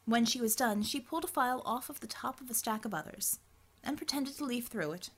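A woman's voice: on the high side, animated, a little fast, fairly bright tone, breathy edge. Woman narrating dramatic fiction. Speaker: audiobook narrator.

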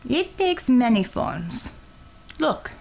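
A brash, mocking Russian commissar, as a woman reader puts her on, with a heavy put-on Russian accent. She talks in blunt, clipped phrases that drop their articles. Her pitch swings widely and sits a little higher than the narration, which keeps her lively. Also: slow, creaky, exaggerated voice. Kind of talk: audiobook character reading.

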